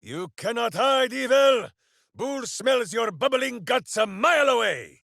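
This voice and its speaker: A man's voice: extremely high pitch, expressive, a little slow, creaky, bright, forceful tone, no room sound, clean studio sound, exaggerated voice. A man voicing a big-hearted, simple-minded heroic warrior in a video game, bombastic and earnest, speaking of himself in the third person. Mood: rousing battle bluster. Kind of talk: video game character voice acting.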